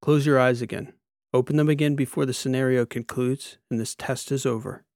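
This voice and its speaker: A man's voice: mid-range voice, fairly even intonation, brisk, a little mellow, no room sound, studio quality, lightly acted. A man voicing a commanding, demanding military examiner in an audiobook, with barked, clipped orders. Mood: intense, coaxing. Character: commanding one-eyed male military examiner, demanding, intense.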